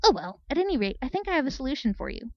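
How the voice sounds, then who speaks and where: A woman's voice: high-pitched, big pitch swings, racing, a little mellow, muffled recording, pitched above the performer's own voice. A woman voicing a brash, bawdy female pegasus in a storybook reading, blunt, cheeky and matter-of-fact. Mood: brisk, helpful.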